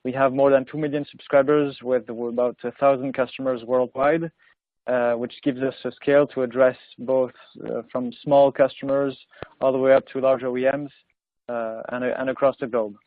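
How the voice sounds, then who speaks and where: Flat-voiced man presenting over a phone line. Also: medium pitch, no room sound. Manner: halting, frequent "uh" fillers, listing offerings. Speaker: company representative panelist. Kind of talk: business presentation. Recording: dry tinny phone-line audio.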